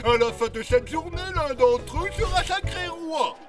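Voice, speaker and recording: A man's voice: extremely high pitch, lively intonation, normal speed. A man playing a pompous contest president and judge in a children's cartoon, announcing ceremoniously. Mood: grandiose. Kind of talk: French children's cartoon voice acting. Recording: cartoon music under the voice.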